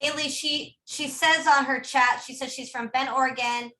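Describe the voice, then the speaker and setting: A woman co-hosts an online pilot meeting, her voice bright and a touch high. Her sound carries the echo of a roomy space. She keeps things moving with quick, upbeat remarks. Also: fairly even intonation. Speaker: meeting co-host. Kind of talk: casual video call meeting. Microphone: video call.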